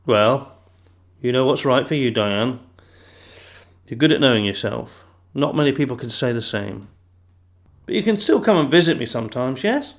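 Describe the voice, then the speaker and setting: Actor as a gentle, chatty old grandfather. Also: medium pitch, animated, lightly acted. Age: older. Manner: halting, fond, reflective. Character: gentle, chatty elderly Italian-born grandfather. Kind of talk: audio play acting.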